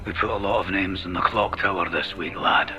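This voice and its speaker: Over a clear music score, an actor voices an older British special forces veteran with a low voice. He is gruff and world-weary, giving clipped commands in the manner of a cinematic military game. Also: fairly even intonation, a little fast, lightly acted. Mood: curt, grudging.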